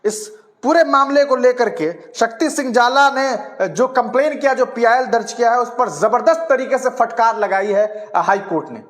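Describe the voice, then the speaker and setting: High-pitched, emphatic man in echoey room. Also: normal speed, few pauses. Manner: emphatic, indignant, rhetorical questions. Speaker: news presenter and commentator. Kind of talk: opinionated news commentary to camera.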